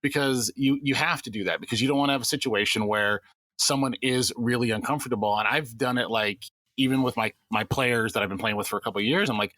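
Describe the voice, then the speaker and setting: Bright, fast-talking man. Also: mid-range voice, no echo. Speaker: game master of a podcast. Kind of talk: casual panel discussion.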